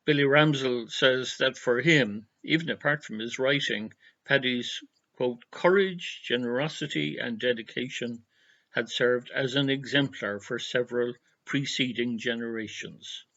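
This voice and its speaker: An Irish man delivers a scripted introduction with literary formality. His voice is forward and bright, with a gravelly creak at the edges. The recording sounds a little dull and lo-fi. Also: mid-range voice, a little slow. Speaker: presenter giving an introduction. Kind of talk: scripted tribute narration.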